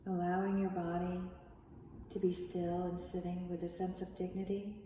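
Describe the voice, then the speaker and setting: A woman leading a guided meditation as a teacher, speaking in measured, instructive short phrases with long pauses. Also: lowish voice, monotone, dark tone. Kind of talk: slow guided meditation narration. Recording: echoey reverberant room, distant mic, faint noise.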